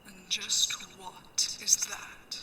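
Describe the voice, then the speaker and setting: A woman's voice: extremely slow, bright, forceful tone, reverberant, distant mic, slight background noise, exaggerated voice. A woman playing a sly, menacing pirate mech pilot in an ASMR sci-fi roleplay, teasing and drawing out mock-sweet threats. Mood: probing, commanding.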